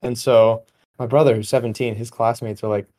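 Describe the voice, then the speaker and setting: Mellow male voice, age twenty, podcast host, casual conversation, rambling and wry. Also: mid-range voice, level tone, a little fast. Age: 20, young adult.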